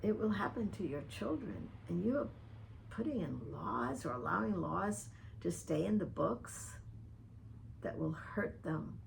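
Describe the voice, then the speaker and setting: A woman's voice: medium pitch, animated, measured pace, vocal fry, slight background noise, poor audio. An older woman from the Dominican Republic, speaking straight to one listener by name in an earnest, pointed personal video message. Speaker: vlogger addressing a public figure. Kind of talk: personal open-letter video monologue.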